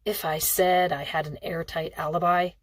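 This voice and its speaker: A woman's voice: lowish voice, level tone, normal speed, close to an everyday voice. A woman voicing an affectionate, roguish middle-aged father in an audiobook, with a casual, teasing, evasive manner. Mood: sly. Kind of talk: audiobook character dialogue.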